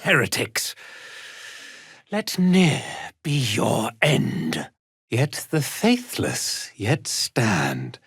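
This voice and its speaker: An arrogant male zealot in command of a dark fantasy cult. His delivery is theatrical and contemptuous, swooping widely in pitch and taking its time. The recording is close and dry, as in a video game. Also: huge pitch swings, extremely slow, vocal fry, breathy edge, no room sound, full character voice. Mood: scornful, menacing.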